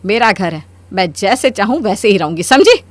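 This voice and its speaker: A woman's voice: medium pitch, sing-song, normal speed, no echo, lightly acted. A woman playing a stubborn, scheming middle-aged mother-in-law in a Hindi cartoon story, with a theatrical, scolding delivery. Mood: angry, stubborn.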